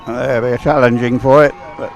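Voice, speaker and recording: A man with a medium-pitched voice calls races live. He sounds conversational and somewhat hesitant. He tends to think aloud as he describes what is in front of him. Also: steady tone, moderate pace, creaky, highs cut off. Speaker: race commentator. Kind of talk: live race commentary.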